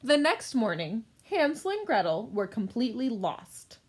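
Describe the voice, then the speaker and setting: A woman reads a children's story aloud. Her voice is high and extremely lively, rising and falling widely as she narrates. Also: normal speed, breathy edge.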